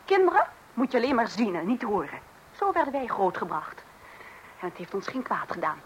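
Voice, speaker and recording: A woman's voice: mid-range voice, very animated, a little fast, close to an everyday voice. An actress playing a prim, strict older woman with old-fashioned views in a Dutch radio play. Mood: stern, prim. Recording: noisy old radio recording.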